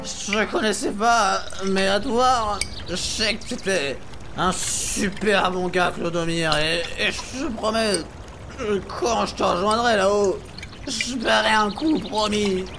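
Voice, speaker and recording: A man's voice: high-pitched, takes it slowly, heavily acted. A man playing a cynical, scheming, foul-mouthed minion on a quest in a comedic audio serial, slangy and sarcastic. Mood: mock mourning. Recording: clear background noise.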